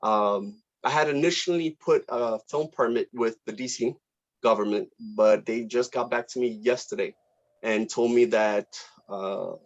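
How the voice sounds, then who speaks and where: Guy running a casual online meeting, kinda hesitant n polite, mid pitch, muffled lo-fi call audio. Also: unhurried. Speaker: film director leading a table read. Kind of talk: casual informal meeting talk. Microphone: video call. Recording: dull, lo-fi video call audio.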